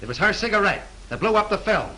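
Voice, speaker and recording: A pushy, blunt police detective. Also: male voice, high-pitched, lively intonation, fast, bright, forceful tone, low-quality recording. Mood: gruff. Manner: blunt, bullying interrogator. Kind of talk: 1930s film acting. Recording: faint hiss of 1930s film soundtrack.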